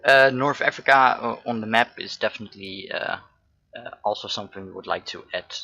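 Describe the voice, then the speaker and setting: A man's voice: fairly low pitch, fairly quick, gravelly, sharp tone, husky, dull audio. A man with a Dutch accent, a game developer at home answering stream chat questions while he plays. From Netherlands. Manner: answers chat questions between gameplay remarks. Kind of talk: casual stream Q&A while playing.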